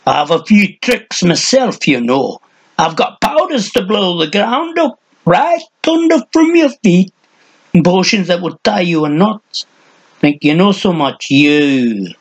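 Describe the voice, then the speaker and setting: A man voicing a cranky, hunched old hermit with a high, strident, shrill voice who rants and mutters. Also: big pitch swings, unhurried, slightly rough, heavily acted. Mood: angry ranting. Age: older.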